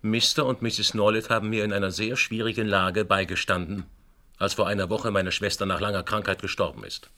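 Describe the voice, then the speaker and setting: Proud ruined gentleman, fairly low voice, formal, earnest, grave, confessional, radio play. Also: steady tone, brisk. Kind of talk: German radio drama acting.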